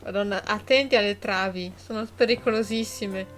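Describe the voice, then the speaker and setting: A woman's voice: medium pitch, a little fast, a bit bright, faint music behind. A woman doing casual live commentary on a game she plays, with playful, teasing asides. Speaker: live streamer.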